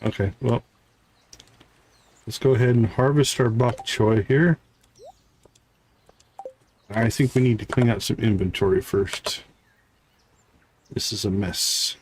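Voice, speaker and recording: A man's voice: fairly low pitch, fairly even intonation, takes it slowly, pauses often, darkish tone, a little hiss or hum. A man hosting a gaming livestream, thinking aloud in casual, chatty commentary as he plays.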